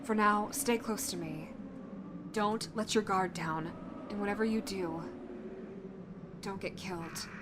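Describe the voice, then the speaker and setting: A woman playing a determined, protective young fighter in a zombie outbreak audio roleplay, speaking earnestly and haltingly to the listener. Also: mid-range voice, background noise, close to an everyday voice. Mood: grateful, resolute.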